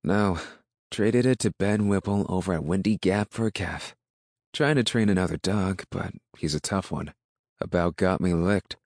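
A man voicing a calm, sensible country husband in an audiobook, with a wry, reasoning, mildly folksy manner. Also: low voice, moderate pace, no echo, clean studio sound. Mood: guarded, casual. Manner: reasoning, dryly challenging, folksy. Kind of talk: audiobook character dialogue.

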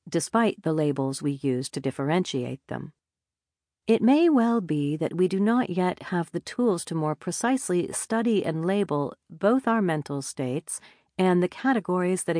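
A woman narrating a nonfiction audiobook in a measured, deliberate reading style on a close studio mic. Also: low-pitched, a little mellow, no echo.

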